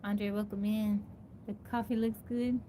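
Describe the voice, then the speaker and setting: A woman's voice: medium pitch, level tone, measured pace, mellow. A woman from Texas hosting a casual live stream, greeting viewers by name and answering their questions. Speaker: livestream host. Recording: noisy live-stream audio.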